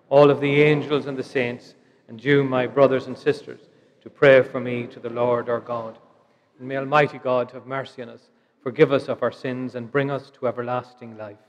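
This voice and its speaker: Irish priest chanting prayers, flat tone. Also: male voice, medium pitch. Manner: measured liturgical recitation. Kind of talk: leading funeral Mass prayers. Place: large church. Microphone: stand mic at altar. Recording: lo-fi church livestream with long reverb.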